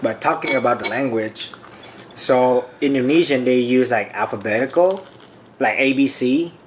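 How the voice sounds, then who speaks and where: A young man talks easily over a meal, his voice sitting in an ordinary middle range. He pauses on fillers as he searches for words, and he is a little sweaty from the spicy food. Faint background noise sits under him. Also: mid-range voice. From Vietnam. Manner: relaxed, easygoing, hesitant with fillers. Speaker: co-host. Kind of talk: casual chat while eating.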